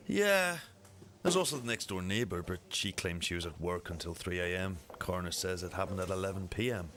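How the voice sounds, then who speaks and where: A man acting as a methodical police detective in an amateur radio drama, with measured, formal, matter-of-fact delivery. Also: low-pitched, expressive, a bit bright. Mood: musing.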